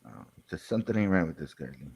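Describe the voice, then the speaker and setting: A man's voice: sits low, animated, fast, lo-fi sound. A man in a casual chat, reacting to a video in a bemused way and often repeating himself. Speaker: co-host or guest commenter.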